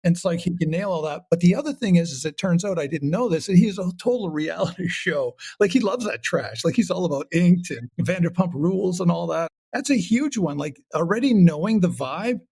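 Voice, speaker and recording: Guy with a gravelly creak, chatting in an interview, throws in acted-out asides. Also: sits high, animated, a little fast, hardly any pauses, a little mellow. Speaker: guest, writer and creator of audio comedy. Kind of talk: casual interview talk.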